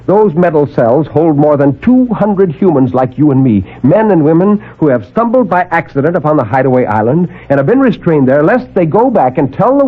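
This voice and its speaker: An actor playing a desperate escaped captive who pleads urgently and haltingly to be believed, in 1950s radio drama style. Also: male voice, medium pitch, husky. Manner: urgent, emphatic, halting delivery. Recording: narrowband old AM radio recording.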